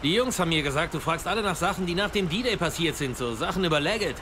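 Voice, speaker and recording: Ein Schauspieler als geradliniger, loyaler Infanterist in einer deutschen Videospiel-Synchronisation, direkt und ernsthaft. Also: male voice, on the high side, lively intonation, fast talker, background noise, close to an everyday voice. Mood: earnest, frank. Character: plain-spoken, loyal American infantry soldier. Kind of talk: German video game dub acting.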